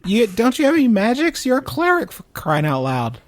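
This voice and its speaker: A man playing a tabletop game, bantering in character in an animated way. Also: extremely high pitch, a little fast, dry recording. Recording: music behind the voice. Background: background music.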